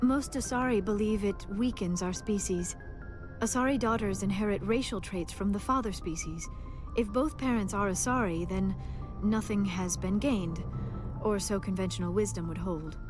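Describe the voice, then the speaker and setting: A woman voices a gentle female alien scholar from a video game. She is earnest and reflective, choosing formal words with measured, precise diction at a medium pitch. Music plays clearly behind her. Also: lightly acted. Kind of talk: video game dialogue acting.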